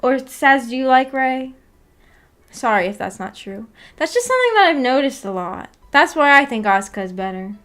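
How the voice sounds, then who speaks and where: A woman hosting a casual livestream, chatting with viewers in a giggly, playfully teasing and apologetic way, rambling and halting as she goes. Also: high voice, big pitch swings, fairly bright tone. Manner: rambling, halting, filler-heavy talk with frequent "like". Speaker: livestream host. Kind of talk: casual livestream chat with viewers about anime.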